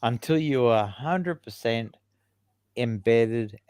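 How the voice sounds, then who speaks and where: A man's voice: medium pitch, slow pace, darkish tone, smooth, no echo, studio quality. An older man as a guest on a casual live-stream chat, easygoing and agreeable, calling the host mate.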